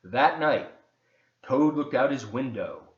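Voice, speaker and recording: A man tells a story to children in an expressive, encouraging way, slipping into chatty asides. His pace is unhurried and his pitch swings widely. The room adds noticeable echo. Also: highs cut off. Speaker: volunteer children's story reader.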